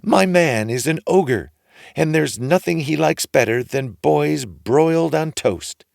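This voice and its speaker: A great big tall storybook wife, put on by a man, brusque but motherly. The voice is pushed high and loud, swooping as she scolds and fusses, with a dry close sound. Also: expressive, takes it slowly, creaky, no echo, heavily acted. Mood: brusque, warning. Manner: high-pitched, exclaiming, scolding and fussing.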